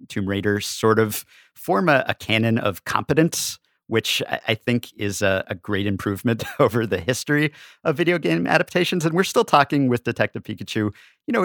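Male podcast guest, slightly low voice, wry analytical chat, close dry sound. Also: fairly bright tone, dry recording. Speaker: podcast guest, staff writer. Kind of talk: casual analytical discussion.

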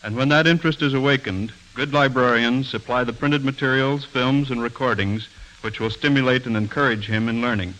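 A man's voice: mid-range voice, fairly even intonation, a little fast, a bit bright, a little hiss or hum, rough recording. An American man earnestly reading a formal, scripted 1940s radio appeal as a guest speaker, on a vintage broadcast. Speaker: guest speaker for a library association.

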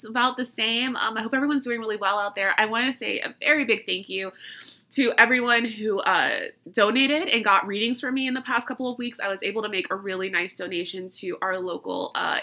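A woman talks through a stuffy, allergy-clogged nose as she hosts her podcast. She is chatty and quick to laugh at herself, with a very bright, forward tone. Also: medium pitch. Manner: chatty, laughs often, self-deprecating. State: congested from allergies. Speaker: podcast host. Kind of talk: casual chat.

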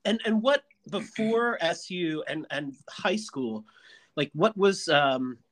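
A man co-hosting a podcast, asking questions in a playful, halting way. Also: a little high, lively intonation, fairly bright tone, poor audio. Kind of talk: casual podcast chat.

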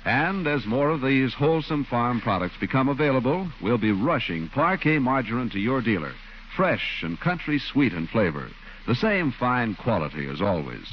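A man reading a 1940s radio commercial as a polished, emphatic, deliberate announcer. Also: mid-range voice, sing-song. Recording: dull old radio recording, faint noise.

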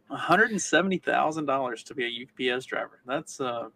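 A man's voice: mid-range voice, bright, forceful tone. A man co-hosting a podcast in casual, informal chat. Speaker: podcast co-host.

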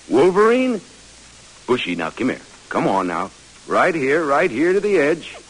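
An actor playing a brooding, affectionate American army major turned explorer in an old radio drama. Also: male voice, on the high side, wildly swooping pitch, measured pace, slightly rough, full character voice, poor audio. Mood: playful, coaxing. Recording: hissy old radio recording.

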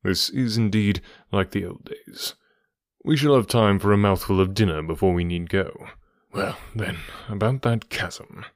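A man voicing a calm, urbane Victorian gentleman detective with a nonchalant manner, in an audiobook story. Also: on the low side, unhurried, no room sound, studio quality, natural voice. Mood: composed, matter-of-fact. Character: tall, keen, composed Victorian gentleman detective.

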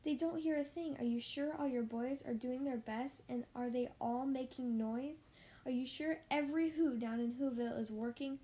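A woman's voice: sits high, moderate pace, mellow, natural voice. A woman voicing a kindly, loyal male elephant who speaks earnestly and emphatically in storybook rhyme. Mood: urgent. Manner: earnest, urgent, pleading, emphatic.

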